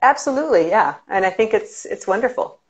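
A woman's voice: mid-range voice, lively intonation, a little fast, a crowd in the background. A middle-aged woman hosting an artist conversation on a video call, friendly and encouraging. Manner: friendly, encouraging listener with frequent affirmations. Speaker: host. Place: indoor room with paintings. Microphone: video call webcam.

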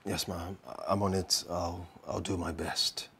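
An actor playing a harried young husband with a Nigerian accent, hesitant and repeating himself in a film drama. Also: male voice, low-pitched, little pitch movement, measured pace, vocal fry, sharp tone, a little hiss or hum, heavily acted. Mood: meek, evasive. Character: harried, placating young husband under family pressure.

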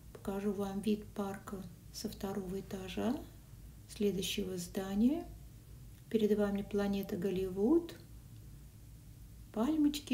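A woman narrating a casual food and travel vlog in a soft voice that sometimes drops to a whisper, recorded on a phone. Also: medium pitch. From Russian-speaking country. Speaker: vlogger. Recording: echoey, from a distance, faint noise.

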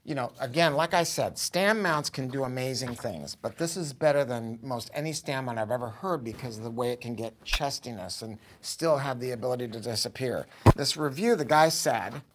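Emphatic American man pitching gear. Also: moderate pace, hardly any pauses, a little hiss or hum. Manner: emphatic, informal, salesman-like. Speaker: audio store owner presenting a product review. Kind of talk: enthusiastic casual product review to camera. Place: showroom, indoors.

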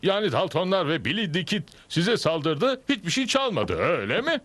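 An actor voicing an eager, inquisitive Old West newspaper reporter in a cartoon dub. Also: male voice, high-pitched, normal speed, no echo, full character voice. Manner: excitable, inquisitive. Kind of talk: Turkish cartoon dub acting. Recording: faint music behind the voice.

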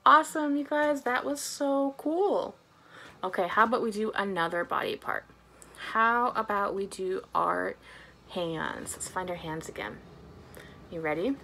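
A woman's voice: mid-range voice, unhurried, gravelly, sharp tone. A young adult woman presenting a storytime for small children as a librarian, speaking to them in simple, encouraging phrases with lots of questions.

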